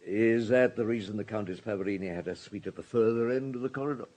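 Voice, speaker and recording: Measured, probing police superintendent played by an actor. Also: male voice, on the low side, a little mellow, natural voice. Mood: probing, calm. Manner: measured, understated, courteous questioning. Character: unhurried, probing French police superintendent, quietly authoritative. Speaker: police detective. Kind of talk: radio drama acting.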